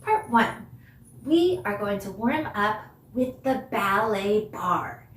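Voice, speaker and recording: Slow-paced woman dance teacher, echoey room. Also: medium pitch, lively intonation, a bit bright, a little hiss or hum. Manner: encouraging, deliberate, demonstrative; mixes English with simple Spanish phrases. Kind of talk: instructional dance lesson for children. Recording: echoey room, distant microphone.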